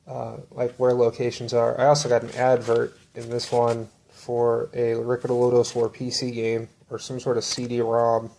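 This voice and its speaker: Guy with a gravelly voice, rambling thru his book collection, lots of ums and uhs. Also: mid-range voice, normal speed, hardly any pauses, rough recording. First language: English. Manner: relaxed, rambling with many fillers. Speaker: collector showing books. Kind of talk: casual show-and-tell.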